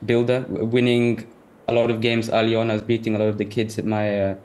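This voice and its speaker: A man's voice: on the low side, completely flat delivery, fast, darkish tone. A teenage boy with a Southeast London accent, answering interview questions politely and hesitantly, with many fillers and restarts. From India, raised in the UK. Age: teens. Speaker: interview guest, chess player.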